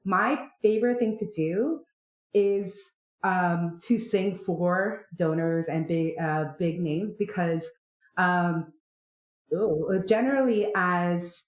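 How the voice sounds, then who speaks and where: A woman's voice: fairly low pitch, slow. A Canadian woman, an opera singer, chatting animatedly in a podcast interview, full of fillers and likes. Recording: roomy echo.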